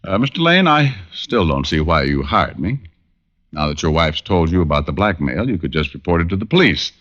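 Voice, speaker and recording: An actor playing a self-assured, wisecracking male private detective in an old-time radio drama, delivering wry, deadpan quips. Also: low-pitched, brisk. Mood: dry, probing. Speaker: lead actor and narrator. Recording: muffled vintage radio recording with music.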